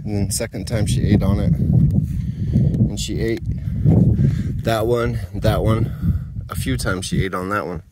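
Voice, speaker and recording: A man's voice: lowish voice, fairly even intonation, measured pace, long pauses, very noisy. Easygoing man rambling on a garden tour. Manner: rambling, easygoing, thinking aloud. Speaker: home gardener. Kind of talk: casual garden tour, talking to camera. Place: outdoors in a dry desert garden.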